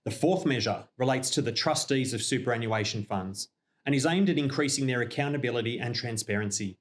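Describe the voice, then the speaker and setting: Australian man presenting a measured business update. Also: mid-range voice. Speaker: company representative presenting a newsreel update. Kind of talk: scripted informational narration.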